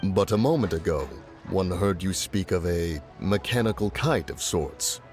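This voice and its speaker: Grave ancient adeptus; low and formal, measured archaic delivery. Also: male voice, lively intonation, music in the background. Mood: composed. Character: ancient solemn male adeptus in human guise. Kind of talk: game voice acting.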